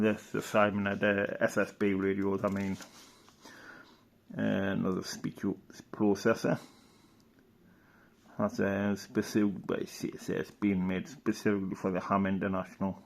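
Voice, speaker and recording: A man's voice: fairly low pitch, vocal fry, darkish tone. A man giving casual, rambling commentary as he reads through an old catalogue, hesitant and thinking aloud. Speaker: video presenter.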